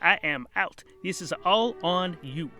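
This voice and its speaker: A man puts on a fussy fantasy priest for an audio comedy. He is high, loud and whiny, blustering and stammering with wounded self-pity. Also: lively intonation, a little slow, soft background music, heavily acted. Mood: hurt, huffy. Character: agitated, whining, cigar-puffing male fantasy priest.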